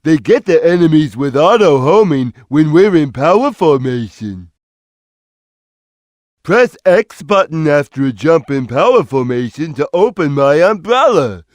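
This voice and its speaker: Slow, simple, gentle big cat. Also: male voice, on the high side, no echo. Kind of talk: video game character lines.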